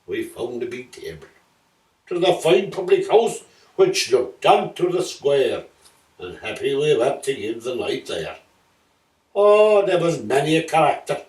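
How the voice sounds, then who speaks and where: Male verse storyteller. Slow, theatrical delivery, recorded at a distance in a roomy space. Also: medium pitch, darkish tone. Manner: lilting, theatrical, measured storytelling. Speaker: poetry reader. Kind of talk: dramatic storybook reading of rhyming comic verse. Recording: roomy echo, distant from mic.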